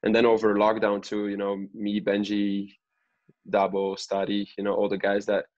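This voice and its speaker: A man's voice: fairly low pitch, monotone, normal speed, a little mellow, lo-fi sound. A man in his twenties, a pro soccer player, chatting casually as an interview guest. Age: 20s. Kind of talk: casual podcast interview chat.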